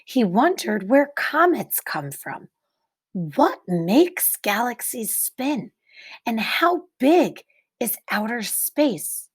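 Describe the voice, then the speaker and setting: A woman reading a picture book aloud like a teacher, expressively, with deliberate dramatic pauses and emphasis for young listeners. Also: fairly high pitch, sing-song, breathy edge. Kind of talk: expressive children's read-aloud narration.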